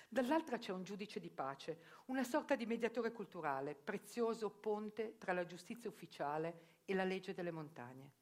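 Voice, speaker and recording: A woman narrating a theatre reportage in a measured, reflective storytelling manner. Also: fairly low pitch.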